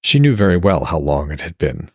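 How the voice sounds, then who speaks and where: A man's voice: low voice, sing-song, brisk, slightly rough, no echo, studio quality. A man reading scripted narration and commentary as an author hosting his own audiobook podcast.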